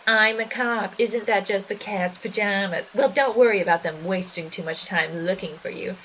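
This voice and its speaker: A woman's voice: medium pitch, fairly bright tone, a little hiss or hum, lightly acted, low-quality recording. A woman playing a menacing, gloating spider monster in flapper guise, theatrical and taunting, in a 1920s gangster-style audio drama. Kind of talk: 1920s gangster slang radio drama acting.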